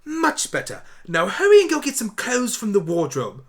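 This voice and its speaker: Guy doing a brainy flustered doctor character, wordy n excitable, high lively voice, fan audio play. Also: normal speed. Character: brainy, flustered eccentric male time-traveling doctor pony turned human. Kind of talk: fan audio drama acting.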